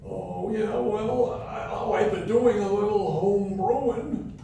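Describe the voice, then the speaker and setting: Man playing a high-voiced cranky old comedian, echoey hall. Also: slow, vocal fry, warm tone, a little hiss or hum, heavily acted. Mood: mischievous, deadpan. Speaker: performer in live comedy radio play. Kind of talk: live comic radio play acting. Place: live fundraiser event room before an audience. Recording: echoey live hall, distant mic.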